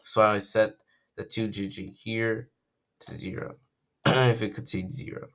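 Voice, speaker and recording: Croaky young man rambling through code. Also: lowish voice, fairly even intonation, measured pace, a bit bright. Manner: rambling, self-correcting, thinks aloud. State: croaky from just waking up. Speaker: coding tutorial creator. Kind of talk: casual screen-recorded coding tutorial.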